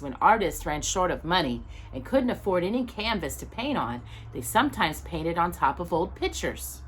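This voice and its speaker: A woman's voice: lowish voice, slight background noise, low-quality recording. A woman storytime host narrating a children's book expressively, with dramatic, emphatic phrasing. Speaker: storytime host reading aloud.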